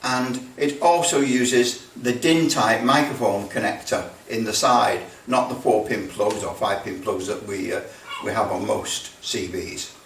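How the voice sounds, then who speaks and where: A man in his sixties giving a casual hands-on explanation, rambling and haltingly thinking aloud as he goes. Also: lowish voice, fairly bright tone. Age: 60s. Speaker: radio repair video maker. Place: small indoor workshop with block walls. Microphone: camera mic at a distance. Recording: roomy, distant mic, background noise.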